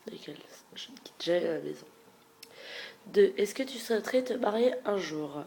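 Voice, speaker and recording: A young woman with a stuffy head cold and a nasal, congested voice, chatting frankly through a question-and-answer video and often trailing off into soft murmurs. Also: mid-range voice, expressive, moderate pace, creaky. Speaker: beauty vlogger. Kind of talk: casual Q&A tag video.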